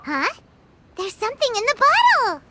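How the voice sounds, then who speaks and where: Super high squeaky lil fairy kid, naive, swoopy, cartoony game voice. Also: female voice, very animated, moderate pace, bright, forceful tone, heavily acted. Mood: surprised. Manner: squeaky, wide-eyed. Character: tiny childlike floating fairy companion, excitable, naive. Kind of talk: cartoonish video game dialogue.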